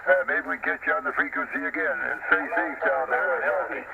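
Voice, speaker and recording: A man with a very gravelly, slightly high voice comes through heavy CB radio static. He is from Ontario and chats in an easygoing, folksy, hesitant way. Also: fairly even intonation, fast, phone-quality sound. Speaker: CB radio operator. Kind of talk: casual CB radio chat. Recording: very noisy, static-laden CB radio reception.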